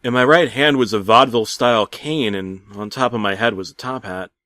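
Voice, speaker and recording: A man narrating a comic first-person horror tale as a storyteller, theatrically shifting between hushed asides and loud exclamations. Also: medium pitch, a little fast, no room sound. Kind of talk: dramatic first-person creepypasta narration, comedic.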